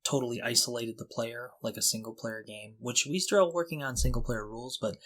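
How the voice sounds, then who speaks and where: A man co-hosting a podcast, talking casually and explaining things in an informal, halting way. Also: mid-range voice, fairly bright tone. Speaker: podcast co-host.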